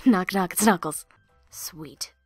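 A woman's voice: fairly low pitch, slow pace, breathy edge, no echo. A woman amateur voice actor in outtake chatter, goofing around playfully with music behind her. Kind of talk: outtake chatter between scripted lines.

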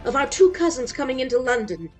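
A woman voices an anxious noble duchess who presses for news. Her voice is fairly high, heard over a webcam. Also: sing-song, fast talker, a little mellow, faint music behind, low-quality recording. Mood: eager. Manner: eager questioning. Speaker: actor in a staged reading. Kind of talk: Shakespeare verse reading. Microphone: webcam on a video call.

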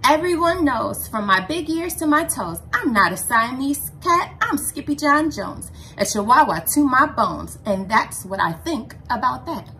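A woman's voice: high-pitched, big pitch swings, measured pace, hardly any pauses, bright, forceful tone, slight background noise, heavily acted. A woman voicing a cheeky, boastful little boy kitten in a children's storybook, playful and grandiose. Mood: defiant.

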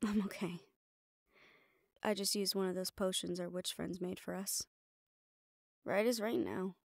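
A woman's voice: medium pitch, little pitch movement, measured pace, creaky, no room sound, studio quality. A woman playing a composed, protective assistant to a mafia boss in an intimate audio roleplay, speaking firmly and reassuringly straight to the listener. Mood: reassuring, teasing. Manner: firm, reassuring, addressing the listener directly.

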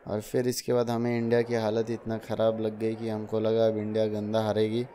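Flat-voiced young man narrating a vlog. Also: lowish voice, normal speed, a little hiss or hum. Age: young adult. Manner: chatty, addresses viewers as friends. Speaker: vlogger. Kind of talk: casual vlog voice-over narration.

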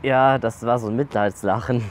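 A man's voice: mid-range voice, fairly even intonation, moderate pace, a little hiss or hum. A young adult man chatting casually outdoors as a vlog host, given to blurting out sudden words. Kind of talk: casual chat. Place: outdoors in a garden by a pool.

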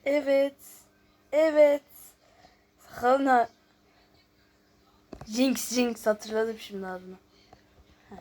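A woman's voice: on the high side, extremely slow, long pauses, a little mellow. A woman hosting a casual gameplay video, chatting and thinking aloud as she reads out questions and answers them. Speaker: YouTube host.

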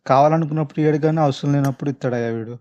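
A man's voice: a little high, fairly even intonation, rapid-fire, warm tone, lo-fi sound. A man with a Telangana Telugu accent giving casual, chatty gameplay commentary to his viewers, speaking informally and directly. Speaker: gaming video host. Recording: faint background noise, clicking sounds.

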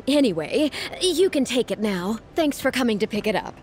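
A woman's voice: fairly high pitch, expressive, normal speed, close to an everyday voice. A woman voicing a polite young female attendant in a video game, courteous and helpful. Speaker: game character.

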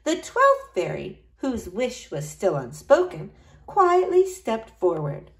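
A woman's voice: fairly high pitch, wildly swooping pitch, slow pace. A woman reading a storybook aloud to young children, expressively, in the manner of a school librarian.

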